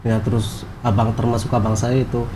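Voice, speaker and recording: A man's voice: mid-range voice, level tone, a little fast, background noise. A man from Cilacap, a guest in a casual interview, giving plain brief answers and retelling events step by step.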